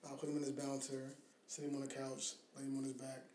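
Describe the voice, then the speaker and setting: A man's voice: mid-range voice, monotone, quick pace, mellow, slight background noise. A man in his thirties chatting casually as a podcast co-host, slangy and sweary with a teasing streak. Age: 30s. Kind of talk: casual chat about parenting. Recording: roomy, echoing sound.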